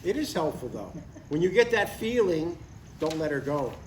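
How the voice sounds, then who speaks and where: A man's voice: fairly high pitch, sing-song, normal speed. An older man playing a blunt, devout father in a live comedy table read, matter-of-fact and mildly exasperated. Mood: fatherly, frank. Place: live reading in a room. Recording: roomy, distant live recording with faint noise.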